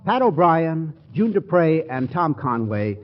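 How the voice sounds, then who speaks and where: A man's voice: on the high side, sing-song, slow pace. A man hosting a 1940s radio show, wrapping up the episode and announcing next week's stars in a genial, scripted way. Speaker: program host. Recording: muffled 1940s radio broadcast.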